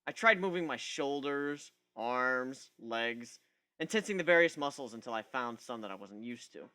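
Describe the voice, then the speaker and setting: Guy reading a story out loud, really animated, sarcastic, bright voice, first person. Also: medium pitch, moderate pace, clear, resonant tone. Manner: sarcastic, theatrical. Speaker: narrator.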